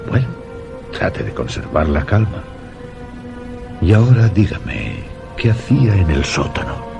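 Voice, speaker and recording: An actor playing an obsessive, unhinged widowed master of a house in a suspense radio drama, courteous yet intense. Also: male voice, deep voice, sing-song, unhurried, gravelly, muffled recording, music in the background, heavily acted. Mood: controlled, courteous. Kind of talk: Spanish-language suspense radio drama acting.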